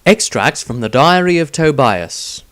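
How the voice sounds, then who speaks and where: A man narrates a scripted audiobook. He reads very slowly, with a voice of middle pitch. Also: big pitch swings, fairly bright tone.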